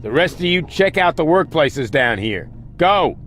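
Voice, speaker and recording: An actor playing a hard, curt security squad leader giving orders in a video game. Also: male voice, on the high side, sing-song, measured pace, fairly bright tone, soft background music. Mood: commanding, urgent. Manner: commanding, curt. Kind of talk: scripted game dialogue, barking orders.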